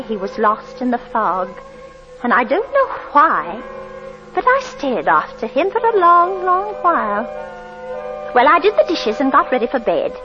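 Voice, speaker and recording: A woman's voice: fairly high pitch, sharp tone, exaggerated voice. A flustered, timid landlady. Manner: fluttery, stammering and anxious. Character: timid, flustered older landlady, eager to please. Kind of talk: vintage radio drama acting. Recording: dull lo-fi old radio recording with faint hiss.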